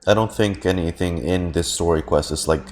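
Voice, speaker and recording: A man's voice: low voice, moderate pace, darkish tone, slight background noise. A man streaming a game with casual live commentary, musing and thinking aloud in a hesitant way.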